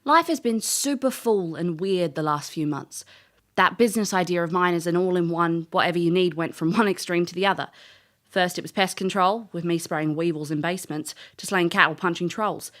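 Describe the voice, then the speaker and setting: A woman's voice: on the low side, a bit bright, natural voice. A woman playing a brash, wry female adventurer in an audio drama, with a chatty, flippant manner. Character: brash, wry female adventurer turned detective, narrating her letter. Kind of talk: audio drama narration and dialogue.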